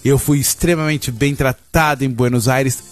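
A man's voice: medium pitch, fairly even intonation, normal speed, soft background music. A Brazilian man hosting a radio interview, chatty and rambling, speaking from the studio. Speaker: radio host.

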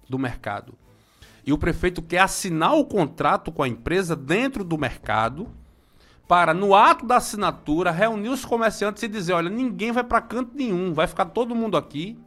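A high-voiced man in his forties from Brazil talks in a loose, rambling way, repeating words and stopping to greet friends. His talk is nostalgic and chatty. Soft music plays faintly behind him. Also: animated, normal speed. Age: 40s. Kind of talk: casual local commentary.

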